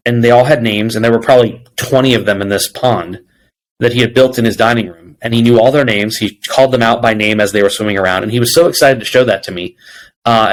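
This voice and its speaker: A man's voice: mid-range voice, low-quality recording. A man speaking as an interview guest, answering conversationally and telling reflective stories with bits of quoted dialogue. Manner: reflective storytelling, quoting dialogue. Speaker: interview guest, people executive.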